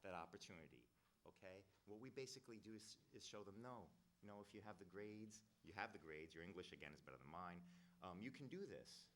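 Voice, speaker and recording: Low-voiced earnest man from Rhode Island. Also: animated, a little fast, fairly bright tone, a little hiss or hum. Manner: rambling, earnest, with frequent restarts. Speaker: youth program director. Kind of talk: roundtable remarks. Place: government roundtable room.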